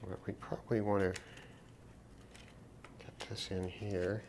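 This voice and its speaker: A man casually narrating a hands-on repair demonstration, thinking aloud in an unhurried way as he works. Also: on the low side, level tone, darkish tone, a little hiss or hum. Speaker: repair video host.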